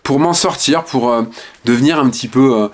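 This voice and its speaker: A man's voice: mid-range voice, moderate pace. A man in his fifties from the Ardennes, frankly telling his life story to the camera at home with hesitant fillers. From Ardennes, France. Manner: frank and reflective, many hesitant "euh" fillers. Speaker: author and online speaker. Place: small home room with shelves and lamp.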